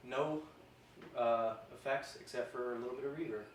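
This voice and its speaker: A man talks informally through a product demo, explaining as he goes. His medium-pitched voice rings with the echo of the room, and there is some noise behind it. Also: normal speed. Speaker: host reviewing guitar gear. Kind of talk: casual product demo and review. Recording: roomy echo, noticeable background noise.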